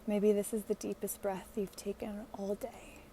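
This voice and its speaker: A woman leading a guided meditation in a gentle, hushed, soothing way, leaving long pauses. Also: medium pitch, flat delivery, a little hiss or hum.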